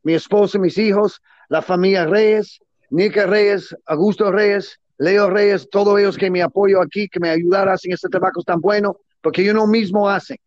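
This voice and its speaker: A man with a high voice speaks enthusiastically in broken, halting Spanish that is not his first language. The sound is dry and a little dull, with no room echo. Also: fairly even intonation. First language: not Spanish; Spanish is his second or third language. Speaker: guest, cigar businessman. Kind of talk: closing remarks in a casual interview.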